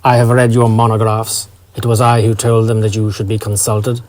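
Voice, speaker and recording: A man voicing a big, courteous prince consort in a story, speaking formally and deliberately. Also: lowish voice, completely flat delivery, a little mellow, close to an everyday voice. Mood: grave, concerned.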